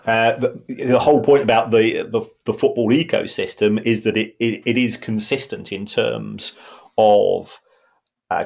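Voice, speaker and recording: Podcast guy breaking down football money, husky low voice, lots of restarts. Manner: explanatory, many restarts and repeated words. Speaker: football finance pundit, co-host. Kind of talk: casual podcast discussion.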